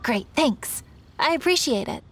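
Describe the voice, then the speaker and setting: Game role: chatty, kindly female lantern maker, highish pitch, swooping expressive delivery. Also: a little slow, slight background noise. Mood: breezy, fond. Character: friendly, chatty young female festival lantern craftswoman. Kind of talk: animated video game acting.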